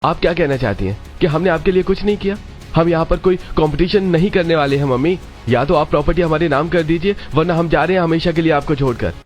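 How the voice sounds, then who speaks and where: A man plays a greedy grown son in a cartoon family quarrel. He talks fast in a fairly high, brusque, insistent voice over music. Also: close to an everyday voice. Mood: angry, demanding. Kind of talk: Hindi cartoon moral-story voice acting.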